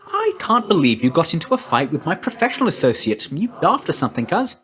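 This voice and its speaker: An actor playing a quick, chipper, cheeky young messenger god in a video game, with breezy, chummy, informal patter. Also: male voice, on the high side, big pitch swings, fairly bright tone. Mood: amused, chummy. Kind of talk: video game character voice lines.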